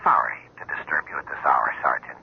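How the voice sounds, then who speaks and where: An actor playing a menacing, smooth-talking man in a radio mystery, speaking formally and ominously in a husky, gravelly voice. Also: rumbling low, a little fast, heavily acted. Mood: calm, secretive. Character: menacing, smooth-talking man making secretive offers by phone. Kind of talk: radio mystery drama acting. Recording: muffled old radio telephone sound.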